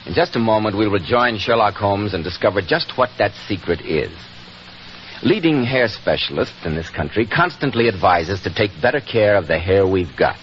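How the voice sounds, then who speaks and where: A man with an American accent announcing in 1940s radio style, delivering a polished, emphatic sales pitch. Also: animated, moderate pace. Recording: muffled 1940s radio recording, faint noise.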